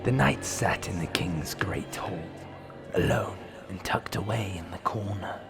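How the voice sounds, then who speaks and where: A man narrating a scripted fantasy audio drama in a measured storytelling manner. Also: low voice, over music.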